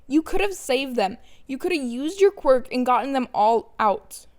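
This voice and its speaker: A woman's voice: high voice, animated, normal speed, a little mellow, voice pushed up. A woman voicing an anguished, outraged teenage boy hero in an audio fan story. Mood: furious.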